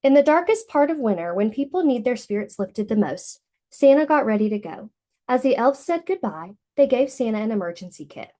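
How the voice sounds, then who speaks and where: A woman reading a picture book aloud to young children in an expressive storytelling manner. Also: on the high side, a little fast.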